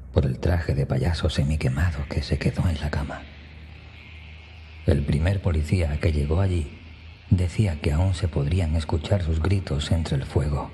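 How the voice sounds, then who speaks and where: A man narrating a scripted first-person horror story in a hushed, breathy manner that often nears a whisper, with music behind him. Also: rumbling low. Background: background music.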